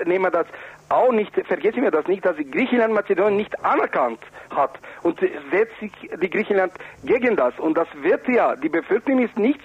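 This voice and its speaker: A man with a high, raspy voice makes an insistent political appeal as a non-native speaker from the former Yugoslavia. He circles back to his points with pleading emphasis. The sound is thin and phone-like. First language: not German. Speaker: Roma activist and interviewee. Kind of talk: impassioned political radio interview. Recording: dull, narrow phone-like radio sound.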